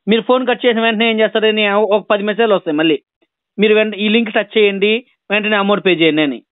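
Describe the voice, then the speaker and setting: Very fast, high-pitched man on phone line. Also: no echo. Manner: sarcastic, insistent, repeats himself. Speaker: borrower on a debt collection call. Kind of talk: argumentative phone conversation. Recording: thin, band-limited phone call recording.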